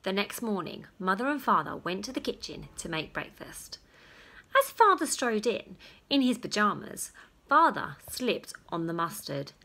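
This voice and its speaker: Casting: female narrator for children's books, unhurried and highly expressive, stretching key words for drama. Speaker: storyteller reading aloud to children. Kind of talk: animated children's story narration.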